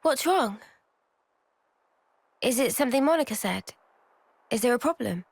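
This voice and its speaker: An actress voicing a gentle, caring young female soldier in a video game cutscene. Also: fairly high pitch, animated, measured pace, creaky, slightly rough, no echo, heavily acted. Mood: gentle concern.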